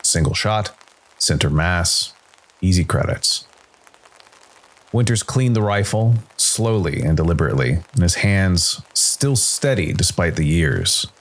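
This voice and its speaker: A man's voice: sits low, lively intonation, measured pace, poor audio. A man reading a dramatic science fiction story as a scripted narration.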